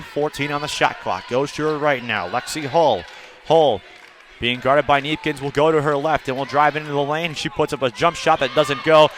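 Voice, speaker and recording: A man doing live basketball play-by-play in urgent, punchy phrases. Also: on the high side. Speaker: play-by-play announcer. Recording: crowd roar and loud gym noise. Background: basketball game crowd.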